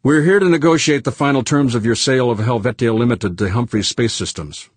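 A man voicing a cool, unruffled businesswoman who negotiates in a crisp, condescending, businesslike way in an audiobook. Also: fairly low pitch, very animated, normal speed, darkish tone, no room sound, pitched above the performer's own voice.

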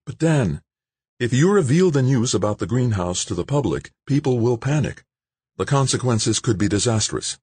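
A man's voice: medium pitch, lively intonation, moderate pace, darkish tone, slightly rough, dry recording, voice pushed up. A man voicing a worried grown woman who pleads earnestly, in an audiobook. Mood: pleading. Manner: anxious, pleading.

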